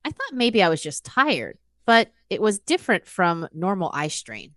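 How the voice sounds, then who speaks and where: A woman's voice: fairly low pitch, huge pitch swings, moderate pace, a bit bright, dry recording, clean studio sound. A woman playing a worried, forthcoming patient in her mid-fifties, earnest and conversational, in an acted exam-practice consultation.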